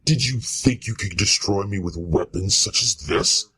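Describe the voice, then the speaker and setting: A man playing a menacing, vengeful monster in a horror radio play, speaking huskily with slow, ominous deliberation. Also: on the low side, sharp tone, breathy edge, heavily acted. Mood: gloating. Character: menacing male monster, vengeful creation tormenting its maker. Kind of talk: amateur radio-play style horror acting.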